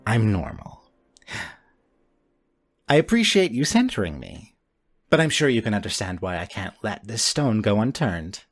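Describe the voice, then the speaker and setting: A man voicing an intense, obsessive four-armed character in a tabletop game, with deliberate, theatrical phrasing. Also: on the low side, big pitch swings, faint music behind, exaggerated voice. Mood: exasperated, composed. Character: intense, obsessive four-armed gamer, exasperated yet sheepish.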